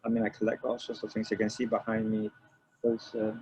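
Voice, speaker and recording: An older man from Malaysia, reminiscing as an interview guest in a halting way, repeating phrases as he explains. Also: on the low side, monotone, darkish tone. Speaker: interview guest, record producer. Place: room with shelves of DVDs, on a remote call. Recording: dull lo-fi call, faint noise.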